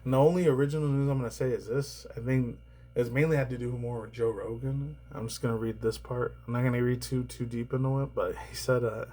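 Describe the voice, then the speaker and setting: A man rambling through casual news commentary, swearing often and laughing between remarks, his voice slightly raspy and tired. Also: mid-range voice, fairly even intonation, fast, warm tone. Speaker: commentary YouTuber.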